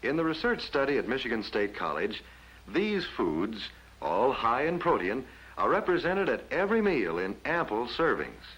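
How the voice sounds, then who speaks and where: A man's voice: medium pitch, wildly swooping pitch, a bit bright, slightly rough, dull audio. An American man narrating a 1950s instructional film from a script in a formal announcer manner, on an old film soundtrack. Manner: formal, measured announcer delivery.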